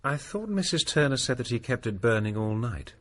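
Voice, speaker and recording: An actor playing a thoughtful gentleman friend who speaks in a calm, reassuring way. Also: medium pitch, a little fast, a little hiss or hum. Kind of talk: period radio drama acting.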